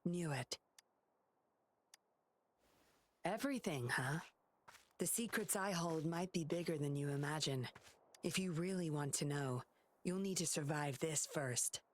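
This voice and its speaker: Low-voiced sly puppeteer woman. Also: moderate pace. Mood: cool, teasing. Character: composed, sly woman puppeteer and undercover patroller. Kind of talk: scripted video game voice acting.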